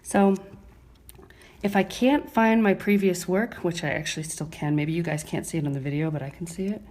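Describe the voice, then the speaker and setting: A woman with an American accent and a slightly low-pitched voice teaches while she works. Her explanations are casual and conversational, delivered with careful, clear enunciation. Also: normal speed, darkish tone. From Brooklyn, New York, United States. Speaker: microblading instructor and artist. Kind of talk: live demonstration with casual explanation.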